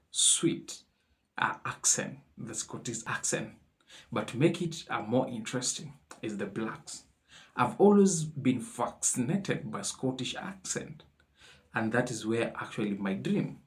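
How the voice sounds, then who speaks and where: Slow halting male commentary host. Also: mid-range voice. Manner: halting, many uh fillers. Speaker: video host. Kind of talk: commentary introducing clips.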